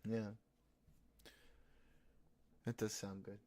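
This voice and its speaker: A man's voice: fairly low pitch, level tone, extremely slow, dark tone. A man from Argentina with an Argentine accent, hosting a stream, teasing and joking in casual chat. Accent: Argentine Spanish. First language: Spanish. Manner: playful, teasing, switches into Spanish. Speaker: streamer host.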